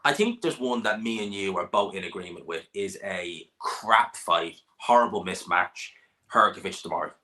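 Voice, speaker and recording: A man's voice: low voice, expressive, normal speed, pushed and bright. A man hosting a live boxing chat stream, joking and bantering with a guest. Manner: jokey, banter-heavy, laughs often. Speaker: boxing channel host.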